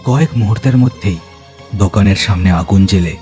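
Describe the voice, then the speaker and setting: A man with a low, deep voice narrates a scripted ghost story in a dramatic storytelling style. Music plays clearly behind him. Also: brisk, dark tone.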